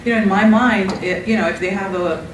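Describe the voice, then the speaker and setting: A woman's voice: medium pitch, fairly even intonation, a little fast. A woman in her forties from Pakistan, with a Pakistani accent, chatting as an interview guest, laughing often with many ums. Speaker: guest, marriage and family therapist. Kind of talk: conversational interview. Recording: roomy, from a distance, background noise.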